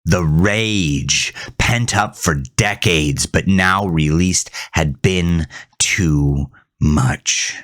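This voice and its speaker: A man playing a grieving, haunted farmer who narrates a horror audio drama in a brooding, deliberate, theatrical way. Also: low-pitched, fairly bright tone, no room sound, studio quality, full character voice. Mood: grim, haunted. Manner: brooding, deliberate, theatrical, dropping at times into breathy near-whisper. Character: grieving, haunted farmer telling his supernatural ordeal.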